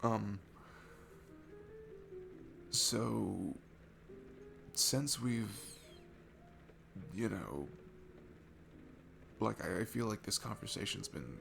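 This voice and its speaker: A man's voice: lowish voice, expressive, extremely slow, gravelly, pauses often, exaggerated voice. A man playing a shy, sweet, nervous young guy at a bar in an intimate ASMR roleplay, stammering and hesitant. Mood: awkward, hesitant, then nervous, smitten. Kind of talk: ASMR roleplay audio, intimate one-sided conversation. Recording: music behind the voice. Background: music.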